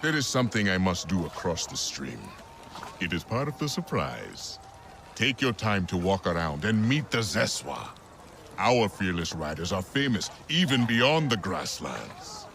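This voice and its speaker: A man's voice: low-pitched, very animated, unhurried, creaky, fairly bright tone, a little hiss or hum, exaggerated voice. An actor playing a jovial, hearty alien clansman welcoming a stranger in a video game cutscene. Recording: music behind the voice.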